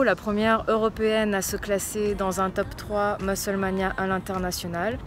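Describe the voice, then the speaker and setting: A young woman from Portugal with a light northern Portuguese accent, a fitness coach telling her own story in a chatty vlog, with frequent fillers. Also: mid-range voice.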